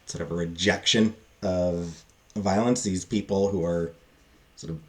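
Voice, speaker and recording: A man giving a casual, unscripted book review, halting and thinking aloud with frequent pauses. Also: sits low, fairly even intonation, a little mellow.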